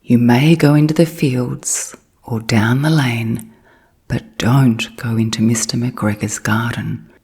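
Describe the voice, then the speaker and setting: A woman voicing a gentle old mother rabbit from a bedtime storybook, cautioning her children in a soft, motherly way. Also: rumbling low, steady tone, slow, full character voice. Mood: gently cautioning. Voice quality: soft, breathy. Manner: motherly, careful, gently warning.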